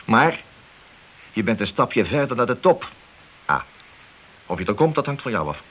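A man's voice: mid-range voice, big pitch swings, moderate pace, fairly bright tone, husky. An actor playing an ambitious, glory-seeking policeman in a radio play, insistent and persuasive. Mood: coaxing, boastful. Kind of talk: radio play dialogue acting. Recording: old radio recording with hiss.

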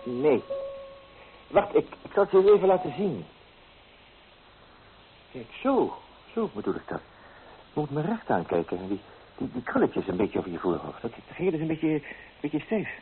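An actor playing a young, amorous painter, coaxing and theatrical, in an old radio drama. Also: male voice, fairly high pitch, wildly swooping pitch, moderate pace, pauses often, soft background music. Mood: instructing. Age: young adult. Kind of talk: old radio play acting. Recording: tinny old radio recording, hissy.

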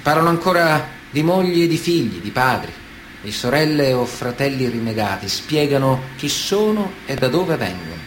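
A man reading a scripted literary narration for radio theatre in a measured, solemn manner. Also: medium pitch, big pitch swings. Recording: clear background noise.

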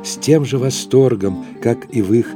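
A man's voice: medium pitch, moderate pace. A man reading literary narration as the narrator of an audio drama. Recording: music under the voice. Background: plucked guitar music.

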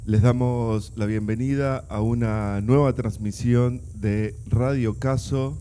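Male radio interviewer, Argentine accent, relaxed and mellow, medium-pitched voice. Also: slow pace, slight background noise. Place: radio station session with a present audience.

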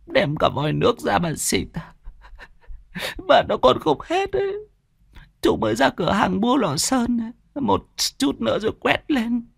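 A man voicing a grieving middle-aged mother in an audio horror story, tearful, with drawn-out sobbing. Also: very high-pitched, big pitch swings, vocal fry, husky, dry recording, studio quality, voice pushed up, full character voice. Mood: tearful, bitter.

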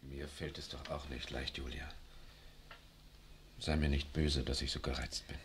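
An actor playing a bitter, pessimistic young husband and scholar in a 1960s radio drama. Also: male voice, extremely low pitch, level tone, measured pace, gravelly, a bit bright, a little hiss or hum, exaggerated voice. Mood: weary. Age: young adult.

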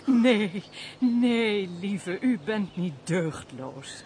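An actress playing a compassionate married lady in a radio drama, expressive and earnest in a theatrical way. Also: medium pitch, very slow, slight background noise. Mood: pitying.

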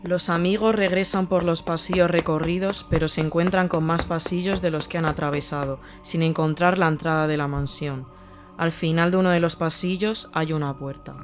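A male narrator reads a scripted horror tale in a measured, atmospheric manner. His voice is somewhat high for a man, and faint music runs behind it. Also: fairly even intonation.

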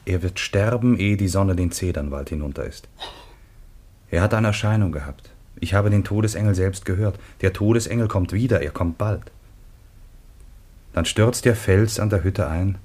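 An actor playing a grieving, reverent grown son in a classical radio play, speaking in a hushed, grave and foreboding manner. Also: male voice, deep voice, normal speed. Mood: dread, foreboding. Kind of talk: solemn classical German radio play acting.

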